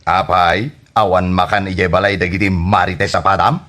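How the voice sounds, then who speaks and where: A man's voice: low-pitched, little pitch movement, moderate pace, a bit bright. A man playing an exasperated, teasing husband who banters in an Ilocano comedy radio drama, with an Ilocano accent.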